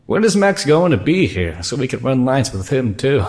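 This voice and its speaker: A man's voice: medium pitch, very animated, normal speed, a little mellow. A man playing a terse, matter-of-fact amateur stage actor in audio drama dialogue. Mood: casual, curious.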